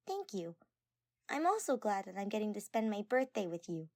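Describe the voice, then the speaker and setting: A woman voicing a shy, soft-spoken and earnest young girl, gentle and hesitant, in an amateur audio drama. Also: medium pitch, very animated, moderate pace, dry recording, studio quality, pitched above the performer's own voice. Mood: shy, grateful.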